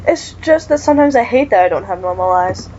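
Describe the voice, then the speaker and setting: A woman's voice: mid-range voice, expressive, fairly quick, darkish tone, muffled recording, a little hiss or hum, pitched below the performer's own voice, lightly acted. Woman reading as a worried colorblind teen boy. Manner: questioning, self-doubting. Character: anxious colorblind teenage boy.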